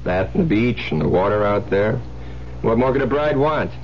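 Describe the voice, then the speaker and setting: A man playing a breezy, smooth-talking new husband in an old-time radio thriller, teasing and theatrical. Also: on the low side, lively intonation, normal speed, lightly acted. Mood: teasing, coaxing. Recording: muffled old radio recording with noise, hum.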